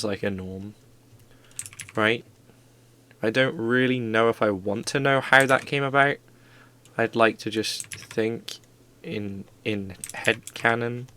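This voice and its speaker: A man's voice: fairly low pitch, a bit bright, clean tone. A man streaming live, chatting casually and rambling playfully with his viewers while drawing.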